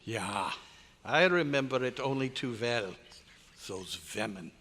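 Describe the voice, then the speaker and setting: An actor playing a stern, excitable scientist with a German accent and formal, emphatic phrasing in an old-time radio drama. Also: male voice, mid-range voice, takes it slowly. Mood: bitter, gruff. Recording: echoey live hall recording, faint noise.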